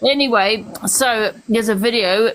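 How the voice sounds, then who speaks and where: A woman's voice: a little high, a little slow, a bit bright, slight background noise, rough recording. A woman hosting a phone live stream in casual, chatty talk, teasing the people who join. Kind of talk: casual chat.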